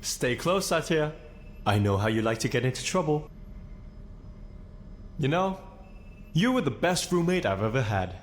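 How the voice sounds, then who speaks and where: An actor playing an upbeat, friendly, teasing architect hero in a video game, with a playful, theatrical manner. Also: male voice, mid-range voice, big pitch swings, a little slow, long pauses, slight background noise. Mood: playful, fond.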